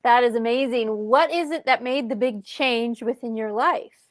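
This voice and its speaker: A woman's voice: fairly high pitch, moderate pace, dry recording. A woman hosting a podcast, welcoming her guest in an upbeat way. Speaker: podcast host. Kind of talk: podcast interview.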